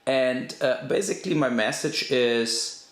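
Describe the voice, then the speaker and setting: A man's voice: medium pitch, expressive, a little slow. A man talking off the cuff in a blunt, crude manner. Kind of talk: unscripted talk in an archive clip. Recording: roomy, echoing archive clip.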